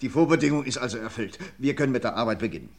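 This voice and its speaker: An actor playing a calm, methodical police inspector in a vintage radio crime drama. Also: male voice, medium pitch, rapid-fire. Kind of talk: 1950s radio play acting.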